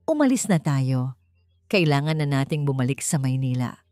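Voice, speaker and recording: A woman's voice: rumbling low, sing-song, normal speed, dark tone, no echo. Woman playing cold male Filipino boss, guarded, suspicious, clipped commands, romance storybook, faint music. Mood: emotionless.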